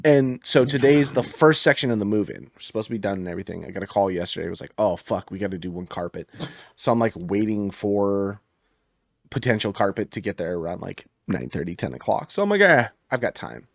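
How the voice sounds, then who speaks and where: Male podcast co-host, slightly raspy, lively swings in pitch, dry close sound, salty and quick to laugh. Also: dry recording. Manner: swears often, laughs easily, animated. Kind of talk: casual chat.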